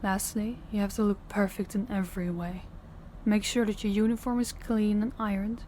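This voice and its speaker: A woman's voice: mid-range voice, level tone, normal speed, mellow, a little hiss or hum, close to an everyday voice. A woman playing a dominant mafia boss in an audio roleplay, stern and condescending, giving orders and patronizing praise. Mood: strict, instructing. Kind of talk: audio roleplay addressed to the listener.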